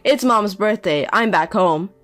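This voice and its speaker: A woman's voice: medium pitch, animated, a little slow, a bit bright, soft background music. A woman voicing a young grown son who speaks curtly and coldly with contempt. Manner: cold, curt, contemptuous.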